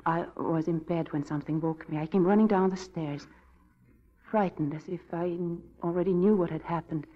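An actress playing an anxious, tender young wife in a 1940s radio drama, speaking with emotional hesitations. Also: female voice, lowish voice, fairly even intonation, normal speed, close to an everyday voice. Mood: haunted, distressed. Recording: muffled 1940s radio broadcast with music.

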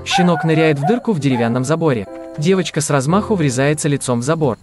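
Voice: mid-range voice, animated, fast. A narrator reading scripted audio description for a cartoon. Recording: music under the voice. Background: prominent soundtrack music.

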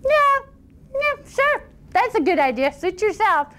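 A woman voicing a small, quick-witted youngest brother in a fairy tale, cocky, nonchalant and bluffing. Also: very high-pitched, very animated, bright, forceful tone, voice pushed up, heavily acted. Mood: casual, agreeable.

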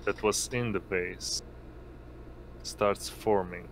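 A man improvising narration as game master of a tabletop roleplaying game, speaking haltingly in short phrases. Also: low voice, steady tone, a little hiss or hum. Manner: halting, pieces descriptions together in short phrases.

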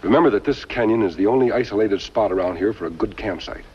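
An actor playing an upright masked lawman hero in a 1950s television western, speaking in a measured, deliberate way with a firm voice. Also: fairly low pitch, breathy edge. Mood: resolute. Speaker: lead actor in a western series. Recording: muffled, noisy 1950s film soundtrack.

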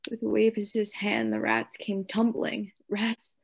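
A woman playing a tormented madman in a radio play, raving and pleading in halting, repetitive phrases. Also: mid-range voice, big pitch swings, vocal fry, full character voice. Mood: dreamy raving. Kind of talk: theatrical radio play acting.

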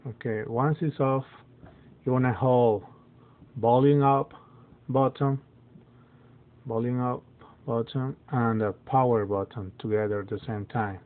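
A man whose first language is Spanish walks through a phone reset step by step, halting often with fillers and restarts. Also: medium pitch, fairly even intonation. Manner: halting, many fillers and restarts, non-native phrasing. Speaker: phone tutorial presenter. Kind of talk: casual how-to walkthrough. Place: dim indoor room, phone filmed in hand. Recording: tinny phone-like audio.

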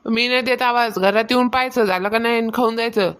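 A woman's voice: a little high, fairly even intonation, quick pace, noisy background, close to an everyday voice. A woman voicing a bossy, stingy, self-important mistress of the house in a cartoon comedy, nagging in colloquial Varhadi Marathi. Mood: irritated. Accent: Varhadi Marathi, rural Maharashtra.

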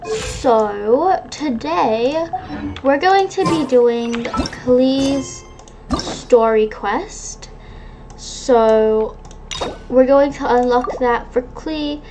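A woman's voice: high-pitched, drawn-out words, noisy background. A woman giving casual gameplay commentary as a gaming YouTuber. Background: game music.

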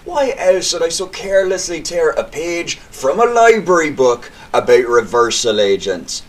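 A man's voice: fairly high pitch, very animated, a little slow. A man playing a sly, gloating criminal mastermind in an audio drama, taunting and theatrical. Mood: gloating, mocking.

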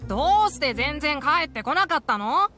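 Eager, high-voiced little boy. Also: sing-song, moderate pace, over music. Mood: pleading, puzzled. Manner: bright childish pleading talk. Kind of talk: anime game voice acting.

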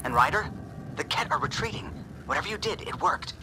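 A man playing a brisk, concerned crew member in a sci-fi video game, checking in with a businesslike manner. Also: high voice, expressive, noisy background. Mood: concerned, eager. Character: brisk, concerned male crew member calling a ground team. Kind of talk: video game cutscene acting.

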